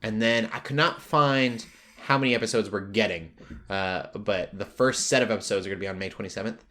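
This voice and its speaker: Guy podcast host w a lowish voice, casual rambly chat, wanders off on tangents. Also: a little fast, sharp tone. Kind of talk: casual pop culture chat.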